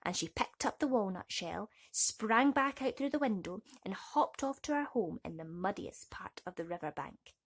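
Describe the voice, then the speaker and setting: A female bedtime story reader with a mid-pitched, husky, breathy voice. She narrates expressively for young children. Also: animated, moderate pace, few pauses.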